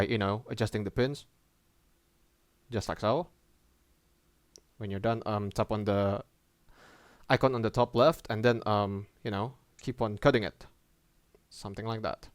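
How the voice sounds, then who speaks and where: A man's voice: on the low side, no room sound. Clean, dry-sounding man giving a casual tutorial. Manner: halting, informal, hesitant phrasing. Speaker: tutorial presenter. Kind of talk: casual app tutorial voice-over.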